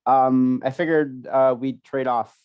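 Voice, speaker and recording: A young man co-hosting a casual chat, rambling and halting with many restarts, still sniffly from a cold. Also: medium pitch, fairly even intonation, a little mellow, clear, resonant tone, no room sound. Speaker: podcast co-host.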